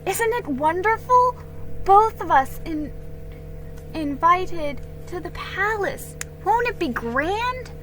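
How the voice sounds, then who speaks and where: Woman playing a high, excitable colonial girl. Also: takes it slowly, soft background music, full character voice, poor audio. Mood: excited. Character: excitable young colonial girl, eager and wide-eyed.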